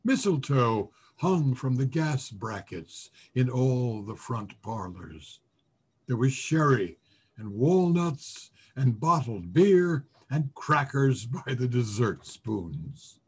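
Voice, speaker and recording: Husky, slow-paced male storyteller. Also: medium pitch. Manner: expressive, savoring words with deliberate pauses. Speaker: voice actor, story reader. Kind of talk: dramatic literary story reading. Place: remote video call presentation.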